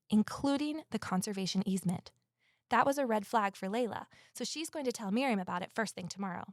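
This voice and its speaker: An actress playing an earnest woman amateur investigator in an audio drama, talking in a gentle, sincere, conversational way. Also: mid-range voice, no room sound, clean studio sound, natural voice. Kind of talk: audio drama dialogue and first-person narration.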